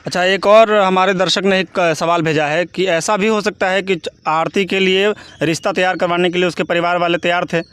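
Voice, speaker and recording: A man's voice: sits high, fairly even intonation, fairly quick, hardly any pauses, fairly bright tone, smooth. A man putting questions as an interviewer in a news discussion.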